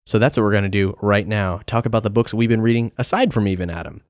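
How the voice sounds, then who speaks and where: A man's voice: lowish voice, fast talker. Man hosting a casual podcast. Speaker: podcast host.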